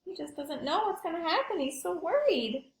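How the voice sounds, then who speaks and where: A woman's voice: very high voice, sing-song, normal speed, echoey room. A woman teacher reading aloud to young children, explaining simply and expressively and asking the listeners questions.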